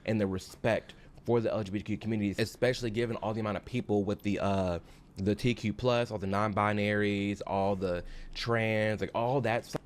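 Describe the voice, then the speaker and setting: Man, lowish voice, earnest opinion commentator, light background noise. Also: steady tone, fairly quick. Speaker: commentator in a played video.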